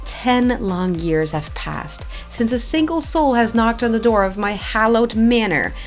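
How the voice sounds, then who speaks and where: A woman's voice: a little high, animated, measured pace, soft background music. A woman voicing a haughty, reclusive old lord of a manor in a video game, with pompous, archaic phrasing. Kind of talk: reading game quest dialogue aloud.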